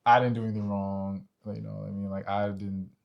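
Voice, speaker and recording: A man's voice: lowish voice, flat delivery, a little fast, gravelly, highs cut off. A man co-hosting a casual discussion about relationships, talking in an informal, slangy way peppered with filler phrases like "you know". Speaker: podcast co-host.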